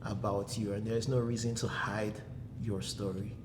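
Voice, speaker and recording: A young Nigerian man with an Igbo accent, calmly giving advice as a guest in a casual interview. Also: lowish voice, moderate pace, a little hiss or hum.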